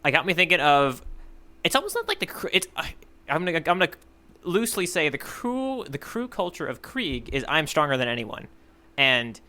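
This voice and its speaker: A man's voice: a little high, wildly swooping pitch, fairly quick, sharp tone. A man co-hosting a podcast, chatting casually and thinking aloud as he reacts to a story. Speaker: podcast co-host.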